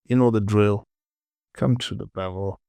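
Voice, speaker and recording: Male tutorial instructor, low and unhurried, informal short phrases, close dry recording. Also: steady tone, vocal fry, warm tone, smooth, no echo. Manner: informal, muttered short instructions to self and viewer. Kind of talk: casual screen-recorded software tutorial, talking while working.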